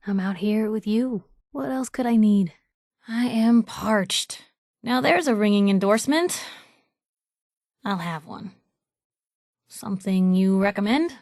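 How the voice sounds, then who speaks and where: A woman voicing a sharp-witted, idealistic young female reporter companion in a video game, wry and conversational. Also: mid-range voice, no echo, clean studio sound. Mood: loving, happy.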